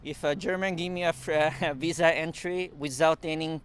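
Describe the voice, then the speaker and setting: A man whose first language is Mandarin, speaking English with a Chinese accent in a casual interview, pausing often with fillers and restarts and chuckling now and then. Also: on the high side, fairly even intonation, a bit bright, slight background noise. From China. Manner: halting non-native English with frequent fillers and restarts. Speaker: interview guest.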